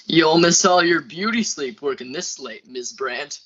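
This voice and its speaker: A man's voice: a little high, animated, normal speed, a bit bright, lo-fi sound, soft background music. A young man acting a friendly but easily shaken night elevator operator in a radio suspense play. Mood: friendly, teasing. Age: young adult.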